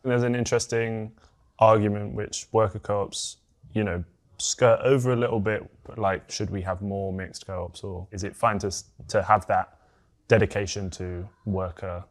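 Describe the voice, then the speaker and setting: A man's voice: on the low side, steady tone, normal speed. A man hosting a conversational interview, asking thoughtful questions in casual phrasing with some fillers. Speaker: podcast host, interviewer.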